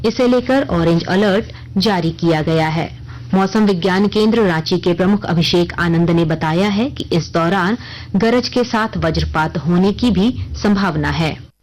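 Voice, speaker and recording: Female news reader, lower-set voice, formal and measured bulletin delivery. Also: darkish tone, slight background noise, poor audio. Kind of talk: scripted radio news bulletin reading.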